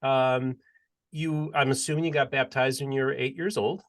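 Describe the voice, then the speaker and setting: A man's voice: medium pitch, steady tone, normal speed. A man hosting a podcast interview, chatty and leading the talk with long, rambling questions. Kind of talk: casual interview chat.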